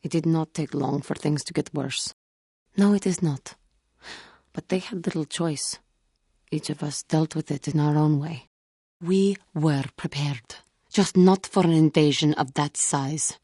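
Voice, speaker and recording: A woman playing an earnest, wounded alien warrior in a video game, speaking formally and gravely in measured phrases. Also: deep voice, mellow, no room sound, clean studio sound, full character voice. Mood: bitter, grave. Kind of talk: video game character dialogue.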